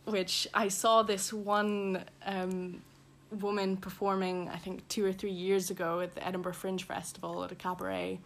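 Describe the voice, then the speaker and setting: Chatty young Belfast woman, slightly gravelly. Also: medium pitch, level tone, moderate pace, few pauses. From Northern Ireland. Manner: rambling, many fillers and laughs. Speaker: guest. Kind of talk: casual conversational interview.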